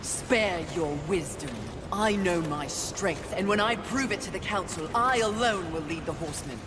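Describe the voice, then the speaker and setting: A woman's voice: fairly low pitch, animated, moderate pace, close to an everyday voice. Brief: woman voicing a hard, impatient female warrior, clipped and determined, game audio with noise behind. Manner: curt, defiant and determined. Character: fierce proud female warrior horseman. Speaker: video game character.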